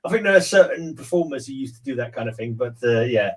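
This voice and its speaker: A man co-hosts a relaxed literary stream in a middle-pitched voice. He rambles good-humouredly and tends to repeat phrases as he works through an idea. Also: quick pace, over a noisy crowd. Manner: jokey, rambling, often repeats himself. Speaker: co-host of a literary read-along stream. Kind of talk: casual book discussion on a live stream.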